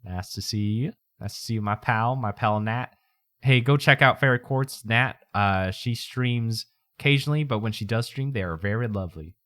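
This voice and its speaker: A man's voice: on the low side, normal speed, a bit bright, no echo, studio quality. A man chatting casually on a livestream in a put-on accent, with a folksy, playful manner. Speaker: streamer, VTuber.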